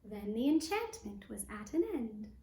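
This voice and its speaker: A woman narrating a scripted fairy tale for a puppet show, measured, with long breaks between phrases. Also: mid-range voice, sing-song, mellow, echoey room, a little hiss or hum.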